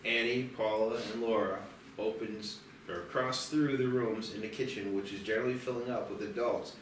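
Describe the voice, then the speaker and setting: A man narrates a screenplay in a fairly low voice. He sits back from the microphone in a very echoey room, with faint noise, reading scene descriptions as scripted narration. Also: fairly even intonation, normal speed, far from the mic. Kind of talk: scripted narration at a table read.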